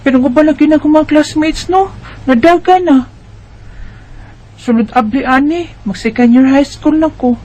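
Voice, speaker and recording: A woman's voice: high voice, normal speed, mellow, lightly acted, poor audio. A woman with a Bisaya Filipino accent playing a chatty, carefree schoolgirl of about twelve in a radio drama. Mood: worried, whiny. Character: Maria, carefree schoolgirl around twelve who loves snacks. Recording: music under the voice.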